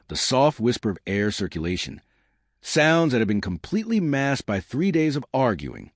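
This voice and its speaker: A man reading scripted science fiction narration in a measured, dramatic storytelling manner. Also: on the low side, dry recording, clean studio sound.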